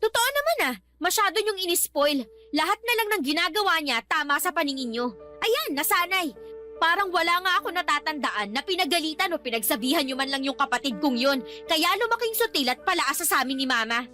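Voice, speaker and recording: A frustrated young daughter with a high voice. She complains earnestly and frankly, as in a Filipino radio drama. Faint music sits underneath. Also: animated, fairly quick, sharp tone. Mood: indignant. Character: frustrated young adult daughter, outspoken and aggrieved.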